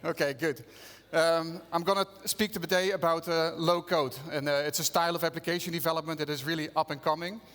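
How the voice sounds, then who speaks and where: A man's voice: a little high, steady tone, brisk, fairly bright tone. Dutch-accented man presenting on stage. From Netherlands. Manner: conversational, engages audience with questions. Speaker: conference speaker. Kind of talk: conference keynote presentation. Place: conference venue stage. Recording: long reverb of a conference hall.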